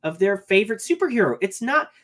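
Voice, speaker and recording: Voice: mid-range voice, big pitch swings, quick pace, a bit bright. A podcast co-host with a mid-range voice talks through opinions in casual chat, earnestly thinking aloud and stacking up points one after another. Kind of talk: casual movie review chat.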